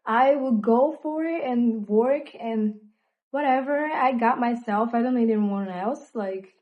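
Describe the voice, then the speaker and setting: Slow-talking Brazilian woman, muffled sound. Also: on the high side. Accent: Brazilian Portuguese. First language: Portuguese. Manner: reflective, hesitant with many fillers. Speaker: vlogger. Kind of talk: candid personal monologue.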